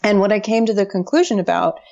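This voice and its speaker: Gravelly-voiced woman on a podcast. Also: medium pitch, steady tone, fast talker, mellow, muffled recording. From United States. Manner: chatty, laughs often, many fillers. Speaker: guest. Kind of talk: casual podcast interview.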